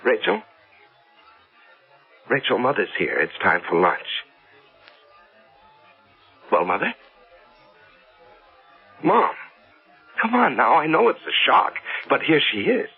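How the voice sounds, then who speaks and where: Through a narrow, muffled old radio sound comes an obsessed husband in a radio drama. His voice is very husky and creaky, and he stammers and repeats himself with fervent urgency. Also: medium pitch, big pitch swings, slow, pauses often, faint music behind, exaggerated voice. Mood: frantic, insistent. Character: excited, obsessed husband, businessman grieving his dead wife. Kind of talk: dramatic radio play acting.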